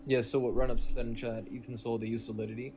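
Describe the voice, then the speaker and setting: A young man in his twenties talks casually in a slangy, laid-back way. His voice sits at an ordinary pitch, and there is clear noise in the audio of the live stream. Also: little pitch movement, fast talker. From born in Venezuela, raised in Spain. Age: 20s. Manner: laid-back slangy speech, says "man" and "bro" often. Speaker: crypto live stream participant. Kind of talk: casual chat.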